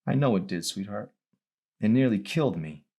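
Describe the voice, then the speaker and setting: Broken middle-aged father, acted by a male reader: low register, weary confession, audiobook drama. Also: lively intonation, normal speed, vocal fry, warm tone, slightly rough, full character voice. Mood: regretful, weary. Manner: pleading, confessional.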